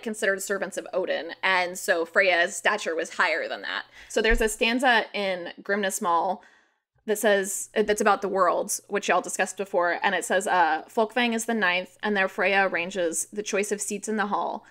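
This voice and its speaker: A woman with an American accent and a very bright, forward voice. She talks informally, like a podcast guest telling stories among friends, with loose phrasing and plain everyday words. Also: medium pitch, moderate pace. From rural Missouri, United States. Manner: informal, animated, frequent "like", sweary. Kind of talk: casual conversational storytelling and explaining.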